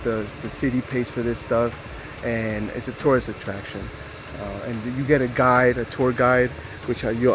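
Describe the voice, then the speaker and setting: Guy in his 40s vlogging outside on a camera mic, chatty and rambly, lots of uhs, faint hiss behind. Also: mid-range voice. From United States. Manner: rambling, filler-heavy, friendly aside-style talk. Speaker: travel vlogger. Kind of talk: casual vlog narration to camera. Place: outdoors at a rainforest park.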